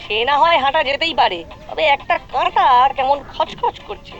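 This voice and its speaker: A woman's voice: sits high, animated, normal speed, a bit bright, lo-fi sound. A woman voicing a cheeky young boy in an exaggerated cartoon style. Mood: puzzled. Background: faint music.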